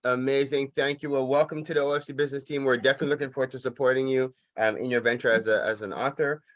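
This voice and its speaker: A man's voice: medium pitch, steady tone, fast, a bit bright, no echo. A man informally hosting an online business meeting in an encouraging, easygoing way. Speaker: meeting host. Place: online video call.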